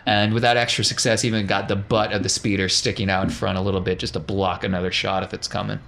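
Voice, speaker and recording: Lower-voiced man running a tabletop game. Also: little pitch movement, fast talker, vocal fry, fairly bright tone, a little hiss or hum. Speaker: game master. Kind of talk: narrating a tabletop game, casual improvised.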